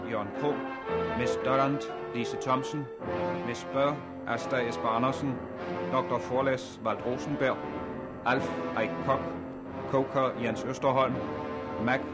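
A man's voice: mid-range voice, fairly even intonation. A man reading scripted credits as a formal radio announcer. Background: loud music.

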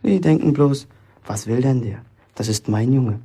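A cocky young bricklayer's apprentice in a radio play. His voice is husky with a creaky edge. He talks with boastful, indignant self-importance. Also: mid-range voice, moderate pace, mellow. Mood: indignant, grumbling. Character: cocky, grumbling fifteen-year-old apprentice bricklayer boy. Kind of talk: German radio drama acting.

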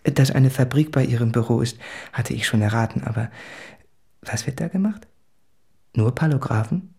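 A man's voice: mid-range voice, moderate pace, creaky, mellow, slightly rough. An actor playing an anguished, introspective man of about thirty reading out his confessional letters in a literary radio drama. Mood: tender, earnest.

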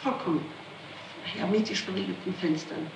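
A woman's voice: mid-range voice, normal speed, creaky. Woman, questioned suspect, defensive, emphatic answers, echoey room, noisy archival tape. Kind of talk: answering a formal interrogation.

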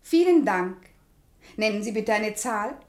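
An actress playing a polite hospital nurse, drawn-out and sing-song, in an experimental radio play with a roomy sound. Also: female voice, medium pitch, animated, lightly acted. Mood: clinical, sing-song.

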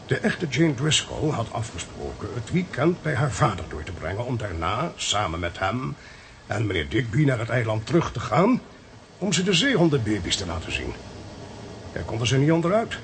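Radio drama authoritative Dutch male agent, emphatic explainer, creaky voice, very animated intonation. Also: medium pitch, moderate pace, a little hiss or hum. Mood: grave. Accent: Netherlands Dutch. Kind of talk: Dutch radio play acting.

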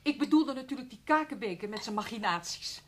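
A woman's voice: fairly high pitch, animated, brisk, natural voice. An actress playing a distraught, apologetic woman in a radio play, stammering and effusive in her pleading. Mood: grateful, ashamed. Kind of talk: Dutch radio drama acting.

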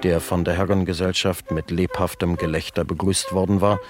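A man narrating a radio drama in a measured, deadpan, scripted style. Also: low-pitched. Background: music.

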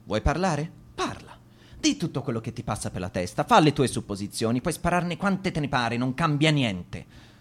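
A man's voice: medium pitch, big pitch swings, normal speed. A young man acting in a radio drama as a cocky teenage lifeguard, boastful, curt and defensive. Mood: angry, defiant. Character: cocky teenage lifeguard boy, defensive, hiding his fear.

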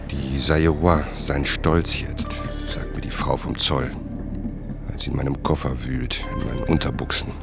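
Sehr tiefer, knarziger, grüblerischer Reisender. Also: male voice, extremely low pitch, fairly even intonation, heavily acted. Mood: brooding, wry. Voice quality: gravelly. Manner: measured, terse, observant. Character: wry, brooding male traveller narrating arrival in Zaire. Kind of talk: literary radio drama narration. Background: music.

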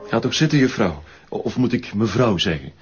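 An actor as a low, swooping, coaxing Flemish villain. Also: male voice, expressive, fairly quick, over music, close to an everyday voice. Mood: soothing, coaxing. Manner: coaxing, falsely soothing. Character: smooth-talking, predatory male charity boss feigning kindness. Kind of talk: television crime drama acting. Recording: dull lo-fi audio with faint noise.

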